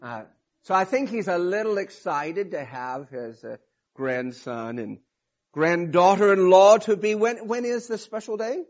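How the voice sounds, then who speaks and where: Raspy hoarse guy preaching, loose and chatty with lots of restarts, kinda muffled lo-fi sound. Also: high-pitched, unhurried, darkish tone. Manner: informal, rambling with restarts and fillers. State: hoarse from a cold. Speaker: pastor. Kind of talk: sermon.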